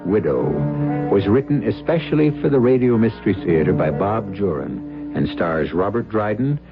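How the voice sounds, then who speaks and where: Man, low animated voice, measured theatrical narration, radio host, muffled vintage recording. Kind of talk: dramatic scripted radio narration. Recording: dull old radio broadcast. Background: prominent music.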